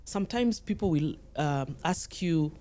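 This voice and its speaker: A middle-aged woman with a fairly low, mellow and relaxed voice. She talks as a podcast guest giving advice, conversational yet emphatic, often repeating a phrase to drive it in. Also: measured pace.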